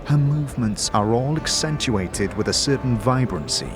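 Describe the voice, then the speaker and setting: A man reading scripted, dramatic fantasy narration as a storyteller, in a measured, descriptive manner. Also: medium pitch, expressive. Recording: music behind the voice. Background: background music.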